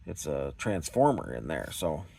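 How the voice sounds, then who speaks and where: A man's voice: very deep voice, very animated, unhurried, vocal fry, dark tone, slightly rough. A Canadian man talking in a casual, unscripted vlog style, rambling and hesitant in a self-effacing way. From Canada, small-town Ontario. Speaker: scrap recycling vlogger.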